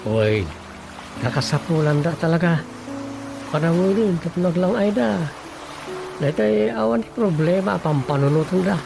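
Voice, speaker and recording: An actor with an Ilocano Filipino accent playing an easygoing, persuasive husband in a comedy radio drama, chatty and coaxing. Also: male voice, on the high side, expressive, measured pace, creaky, long pauses, over music. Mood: persuasive, cheerful.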